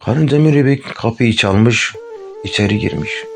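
A man gently telling a fairy tale to a child, addressing the listener directly. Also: medium pitch, moderate pace. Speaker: storyteller. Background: faint flute music.

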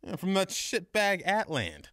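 A woman's voice: on the low side, huge pitch swings, a little slow, a bit bright, exaggerated voice. A woman playing a menacing, vulgar male mobster with a mocking, swaggering manner in a crime comedy audio drama.

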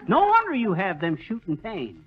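Muffled 1930s radio, bumbling shopkeeper, high animated voice, folksy, blustering. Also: male voice, normal speed, slightly rough, heavily acted. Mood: pompous salesman. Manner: folksy slang, malapropisms, exasperated bluster. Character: blustery, bumbling know-it-all small-town male shopkeeper. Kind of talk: 1930s radio comedy acting.

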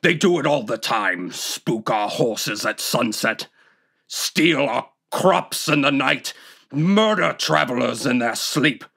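An actor playing a wrathful, grief-maddened warrior king in a fantasy audio drama, with a gravelly growl and slow, forceful, menacing delivery. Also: medium pitch, lively intonation, heavily acted. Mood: raging, contemptuous.

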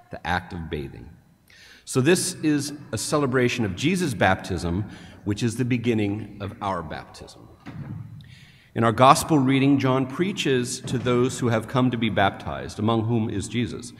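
An older man, a deacon, delivering a measured homily read from a prepared text at a lectern in a large cathedral. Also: on the low side, a little hiss or hum. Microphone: headset mic, standing at a lectern. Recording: long reverb of a large church.

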